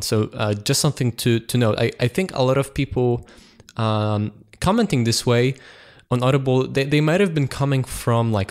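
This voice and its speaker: A man's voice: mid-range voice, darkish tone. A man hosting a podcast, talking casually with many fillers and repeated words. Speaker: podcast host. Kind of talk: casual chat.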